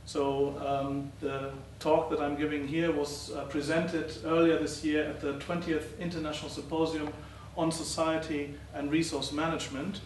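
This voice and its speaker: A middle-aged man giving a conference talk as a professor presenting research, speaking haltingly with many uh and um fillers. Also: fairly high pitch, flat delivery. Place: lecture hall. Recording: roomy, distant, faint noise.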